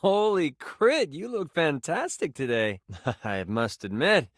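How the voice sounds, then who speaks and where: A man voicing a nerdy, earnest, easily flustered tabletop game master in a video game, with an eager, stammering, expressive delivery. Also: a little high, slow pace, dry recording, studio quality, full character voice. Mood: eager, playful. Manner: stammering, eager, gushing, self-conscious.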